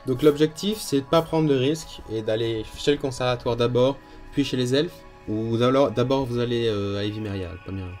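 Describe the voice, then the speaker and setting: A man's voice: medium pitch, normal speed, few pauses, a little mellow, faint music behind. A man running a tabletop role-playing game as game master, often hesitating and repeating words while he thinks.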